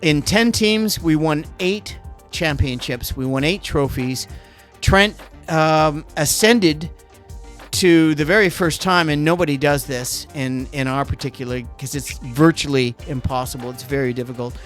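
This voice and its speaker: A middle-aged Canadian man hosts a podcast in a casual, rambling way, wandering off on tangents. His voice sits a little higher than most men's, and soft music plays faintly behind him. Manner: rambling, digressive, quick to go off on tangents. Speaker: podcast host. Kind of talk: casual chat.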